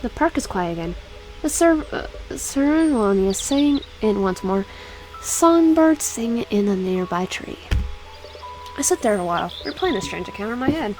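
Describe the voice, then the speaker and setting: A woman's voice: fairly high pitch, lively intonation, normal speed, a little mellow, low-quality recording. A woman casually reading a visual novel game aloud with personal asides, often stumbling over words and repeating phrases. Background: background music.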